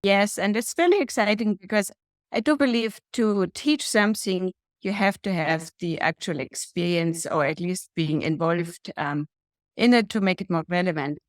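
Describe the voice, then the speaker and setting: A middle-aged woman from Germany with a German accent, a healthcare executive and lecturer answering interview questions in a measured, earnest way. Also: on the low side, no echo, clean studio sound.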